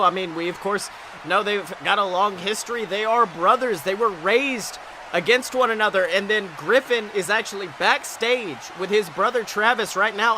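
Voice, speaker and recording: Man playing an excitable high-pitched wrestling announcer. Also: noisy background, close to an everyday voice. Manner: theatrical, emphatic, addressing co-commentator. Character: excitable folksy male wrestling announcer, Silver Spoon. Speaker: play-by-play wrestling commentator. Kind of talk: wrestling broadcast commentary. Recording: music behind the voice.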